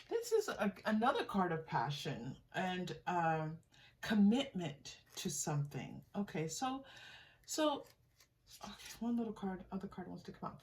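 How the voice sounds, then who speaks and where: Woman tarot reader, distant mic. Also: mid-range voice. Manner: encouraging, conversational, addresses the listener directly. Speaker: tarot reader hosting a reading. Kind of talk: casual improvised card reading to viewers. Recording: distant mic with faint noise.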